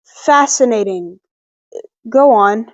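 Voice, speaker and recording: Curious, formal TV interviewer played by a woman, high-pitched, easily impressed, close and dull sound. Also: expressive, slow pace, dry recording. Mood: amazed. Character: inquisitive, amazed news interviewer questioning a family.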